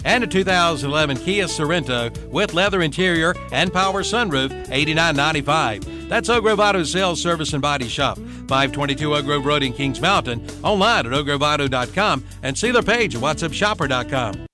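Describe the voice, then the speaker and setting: Higher-voiced male radio announcer over music. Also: animated, a little fast, few pauses. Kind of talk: scripted local radio commercial read. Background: music bed.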